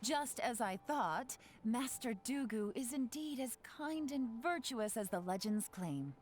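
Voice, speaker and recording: A woman playing a composed, shrewd game character who speaks with measured, formal politeness. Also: on the high side, animated, darkish tone, a little hiss or hum. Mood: composed, courteous. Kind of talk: video game character dialogue. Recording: game music behind the voice.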